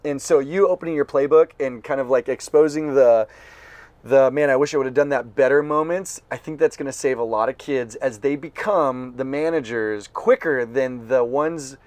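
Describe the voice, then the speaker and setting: A man's voice: mid-range voice, moderate pace, darkish tone, no room sound. A man hosting a podcast, chatting casually and enthusiastically, joking and cutting in on his guest. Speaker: podcast host. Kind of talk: casual interview chat.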